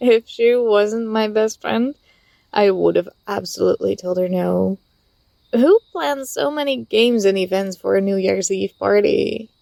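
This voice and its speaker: A woman playing an affectionate, teasing young student talking to a close friend in a soft, intimate ASMR roleplay. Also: mid-range voice, measured pace, vocal fry, no room sound. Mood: warm, apologetic. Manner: gentle, playful, coaxing.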